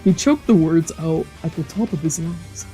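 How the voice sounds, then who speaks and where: Excitable woman streamer reading aloud. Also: low-pitched, normal speed, faint music behind, low-quality recording. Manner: excitable, sweary. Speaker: let's play streamer. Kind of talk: visual novel read-aloud with casual reactions.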